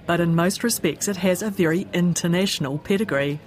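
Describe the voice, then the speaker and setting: A woman's voice: on the low side, a little fast, slight background noise. A woman with a New Zealand accent, a radio presenter interviewing and narrating.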